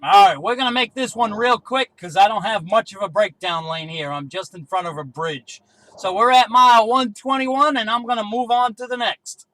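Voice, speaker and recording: A man with a high-pitched, bright voice works a CB radio. He talks in casual two-way radio style, checking in as an operator would. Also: normal speed, a little hiss or hum, low-quality recording. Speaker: CB radio operator testing range from a car.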